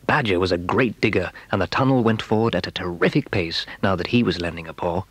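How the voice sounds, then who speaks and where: A man's voice: sits low, fast, husky, dry recording. A man narrating a children's story in a dramatic storytelling manner.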